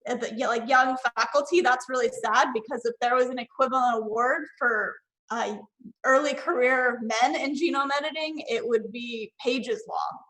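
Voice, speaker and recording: A woman's voice: on the high side, moderate pace, a bit bright, rough recording. A woman in her thirties from Northern California, chatting casually as a panelist over a video call with many fillers and restarts. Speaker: panelist and award finalist.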